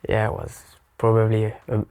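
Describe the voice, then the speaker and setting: A young man in his twenties with a French accent, answering interview questions in halting English, searching for words and laughing easily. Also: fairly low pitch, wildly swooping pitch, creaky, warm tone. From France. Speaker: footballer. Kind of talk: casual interview.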